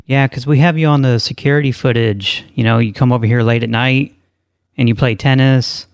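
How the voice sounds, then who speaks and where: A man with an average-pitched voice, recorded dry and close. He talks casually as a prank call host, keeping a straight face and pressing his point insistently. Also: steady tone, brisk, gravelly, smooth, no echo. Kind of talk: deadpan prank phone calls and casual show intro.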